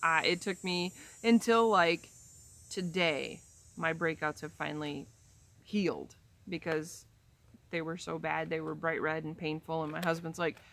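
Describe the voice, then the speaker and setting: A woman's voice: sits low, steady tone, a little slow, creaky, fairly bright tone. A woman of about fifty chatting casually to camera from a backyard chair, rambling with frequent ums and restarts. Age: 50s. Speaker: vlogger. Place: outdoors in a leafy backyard, seated in a chair. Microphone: camera in front, head and shoulders framing.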